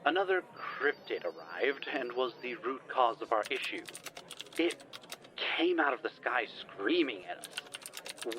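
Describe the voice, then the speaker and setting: A man's voice: medium pitch, sharp tone, slight background noise, low-quality recording. An actor playing a flustered, formal older male scientist in an audio drama, speaking wordily with nervous hesitations.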